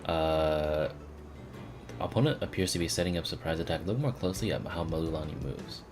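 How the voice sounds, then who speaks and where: A man's voice: very deep voice, normal speed. A man doing casual live commentary on a game, offhand, thinking aloud and reading on-screen text. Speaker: game streamer. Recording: game music behind the voice. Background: video game music.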